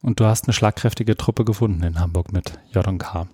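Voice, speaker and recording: A man's voice: lowish voice, fairly quick, warm tone, husky, dry recording, studio quality. A man hosting an interview podcast, welcoming guests and guiding the introductions in a friendly, structured way. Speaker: podcast host.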